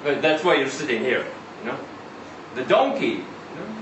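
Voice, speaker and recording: A man reading a short story aloud as a chatty, insistent Israeli taxi driver, halting and conversational, full of rhetorical questions and tags. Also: fairly high pitch, sing-song. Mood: awed storytelling. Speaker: reader at a live literary event. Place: indoor live reading event. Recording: roomy live venue, distant mic, faint noise.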